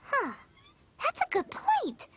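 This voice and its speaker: Squeaky, excitable little fairy girl. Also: slow pace, noisy background, full character voice. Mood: curious. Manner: squeaky, bubbly, refers to self by name. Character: small childlike fairy companion, excitable, food-loving, speaks in third person.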